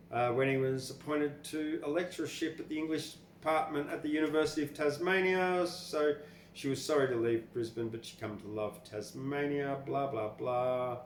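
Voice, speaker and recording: Slow-talking older Australian man, echoey room. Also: medium pitch. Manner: rambling, informal, blunt. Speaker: poetry podcast host and reader. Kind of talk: casual commentary and expressive poetry reading. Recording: echoey room with faint background noise.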